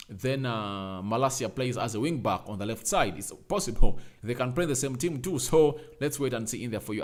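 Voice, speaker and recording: Young male streamer host, mid-pitched, chatty and repetitive, punches lines up loud when urging likes. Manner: reads out viewer names and comments, repeats phrases, urges likes; emphatic, rising to loud calls. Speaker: fan channel host. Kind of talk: casual live-stream chat.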